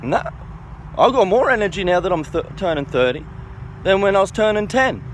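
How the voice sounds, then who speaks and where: High-pitched, emphatic man around thirty. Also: lively intonation, brisk, slight background noise. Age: 29, turning thirty. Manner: emphatic, self-assured, repetitive, seeking agreement with "okay" and "right". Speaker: self-styled health and philosophy educator. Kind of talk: informal opinion monologue.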